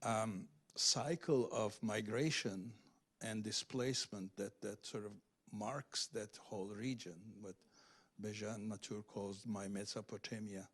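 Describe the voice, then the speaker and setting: A man speaking as a literary translator at an event, explaining in a halting, searching, scholarly way without a script. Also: lowish voice, fairly even intonation, a bit bright, slightly rough. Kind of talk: unscripted explanation at a reading Q&A.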